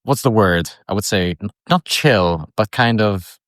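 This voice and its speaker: A man co-hosting an improvised comedy podcast, asking curious, halting questions while playing it straight. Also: fairly low pitch, lively intonation, fairly bright tone, no echo, studio quality. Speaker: podcast co-host.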